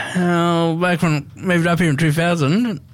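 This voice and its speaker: An Australian man in his thirties, from Queensland, answering a radio host in short, soft-spoken replies. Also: on the high side, fairly even intonation, takes it slowly. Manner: soft-spoken, brief answers with shy laughs. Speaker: radio show guest. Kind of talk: casual radio chat.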